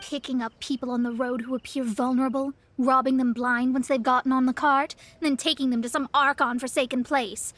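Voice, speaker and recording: Mona, a haughty young astrologist. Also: female voice, sits high, fairly even intonation, a little fast, few pauses, fairly bright tone, no room sound. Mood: cold. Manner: formal, haughty, lofty wording. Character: proud young female astrologist, haughty and learned.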